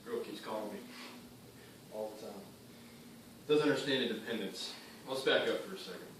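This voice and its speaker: A man performing a theatrical monologue as a cynical, bitter young drug dealer, defiant and speaking in clipped phrases with pointed pauses. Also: fairly low pitch, a bit bright, natural voice. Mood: dismissive, wounded. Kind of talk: theatrical monologue addressed to an audience. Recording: very echoey room, distant mic, faint noise.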